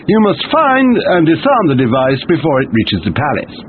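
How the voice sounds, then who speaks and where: A formal military commander briefs an agent over a tinny radio. His voice is high and animated, through clear static noise. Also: normal speed. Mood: formal, instructing. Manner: formal, instructing. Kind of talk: scripted mission briefing. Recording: tinny, noisy radio transmission.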